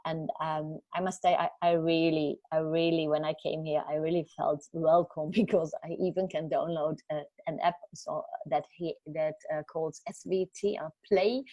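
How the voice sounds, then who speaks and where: Female guest speaker. Slightly low voice, dry sound, informal answers with halting word searches. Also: fairly even intonation, warm tone, no room sound, lo-fi sound. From Germany or the Netherlands. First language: German. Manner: halting, searching for words, frequent self-repairs. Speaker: journalist and author, guest speaker. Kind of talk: informal spoken talk answering audience questions. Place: online video call.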